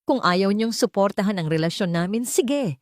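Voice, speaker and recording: Woman playing defiant young man, hot-tempered, forceful, raised pitch, animated, audiobook melodrama. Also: normal speed, no echo, clean studio sound, natural voice. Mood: furious. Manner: forceful, emphatic.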